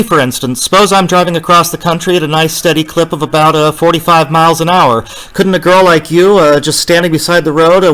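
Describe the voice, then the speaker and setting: Man acting a haunted, frantic driver, bright intense voice. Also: on the high side, lightly acted, poor audio. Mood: nervous, awkward. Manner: intense, dramatic, halting and stammering when agitated. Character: haunted, increasingly frantic male cross-country driver narrating his ordeal. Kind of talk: suspense radio play acting with first-person narration.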